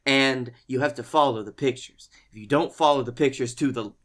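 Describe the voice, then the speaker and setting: A man co-hosting a comedy podcast, telling casual stories in an animated, playfully exaggerated way. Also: mid-range voice, fairly quick. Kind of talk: casual comic storytelling.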